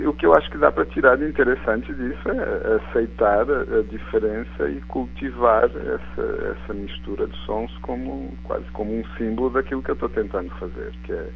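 A middle-aged man from Portugal with a European Portuguese accent softened by Brazilian sounds, speaking thoughtfully as a guest in a live radio interview. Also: on the low side, creaky, a little hiss or hum. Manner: thoughtful, searching for words with restarts. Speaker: guest author and biology professor. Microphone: telephone. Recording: tinny phone line.

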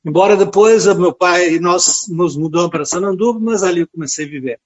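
An elderly man with a southern Brazilian accent speaks as a priest being interviewed. His voice is notably high for a man, and he reflects devoutly, often repeating words as he goes. The audio is slightly dull and lo-fi. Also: brisk. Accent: southern Brazilian, Rio Grande do Sul. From rural southern Brazil. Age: late 70s. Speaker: priest and friar, interview guest. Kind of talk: reflective personal storytelling in a radio interview.

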